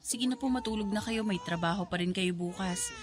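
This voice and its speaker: A woman's voice: medium pitch, brisk, background noise, close to an everyday voice. Woman acting a patient, dutiful young daughter. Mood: polite, hesitant. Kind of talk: Tagalog radio drama acting.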